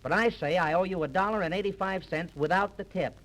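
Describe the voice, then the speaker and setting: A man's voice: high-pitched, brisk, fairly bright tone, low-quality recording. An actor playing a stubborn, bossy male taxi passenger in a 1930s radio comedy sketch. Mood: insistent. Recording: restored early 1930s radio transcription disc.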